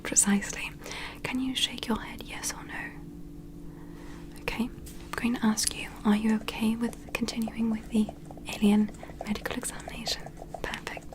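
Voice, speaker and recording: Woman as a softly whispering alien medical examiner. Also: a little high, flat delivery, natural voice. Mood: calm, welcoming. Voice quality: breathy unvoiced whisper. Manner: slow, soothing, reassuring, procedural. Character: gentle, attentive female alien medical examiner named Luna. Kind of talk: whispered ASMR medical roleplay.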